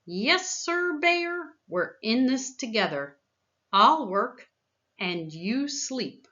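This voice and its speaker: A woman voicing a clever, persuasive male trickster hare from a storybook, pitching deals with eager patter. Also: very animated, very slow, pushed and bright, dull audio, full character voice.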